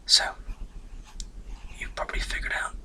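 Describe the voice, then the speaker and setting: A man's voice: normal speed, sharp tone. A man in his twenties from Norway, whispering a rambling, self-deprecating life story for an ASMR video. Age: 20s. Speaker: ASMR channel host.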